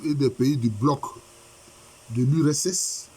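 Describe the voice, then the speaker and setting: A husky-voiced man preaches with a head cold, and his voice sounds rough and a little stuffy. He hammers his points home by repeating them and asking rhetorical questions. His pitch sits at a middling level. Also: measured pace, mellow. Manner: repetitive, emphatic, rhetorical questions. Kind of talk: sermon by video conference.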